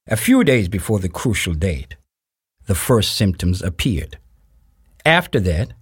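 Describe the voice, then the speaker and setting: A man playing a grave narrator of a historical radio play, reading scripted narration in a measured, deliberate, formal way with pauses. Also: fairly low pitch, breathy edge, no room sound, studio quality, full character voice. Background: music.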